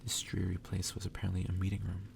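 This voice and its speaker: Low, very creaky man reading softly. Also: monotone, fast, distant mic, background noise. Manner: soft-spoken, occasionally whispered, stumbles over the reading. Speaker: ASMR reader. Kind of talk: soft ASMR read-aloud of a story.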